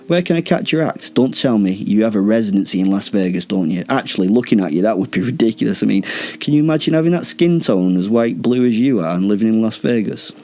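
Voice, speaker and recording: A man's voice: lowish voice, big pitch swings, brisk, hardly any pauses, a little mellow, slightly rough. A man voicing a cocky, flippant male werewolf in a story, sarcastic and full of exaggerated mock awe. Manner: sarcastic, mock-awed, sweary.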